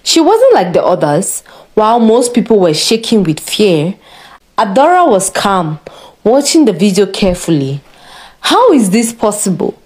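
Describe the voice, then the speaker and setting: A woman narrating a scripted story in a measured, dramatic way. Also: medium pitch, sing-song, darkish tone.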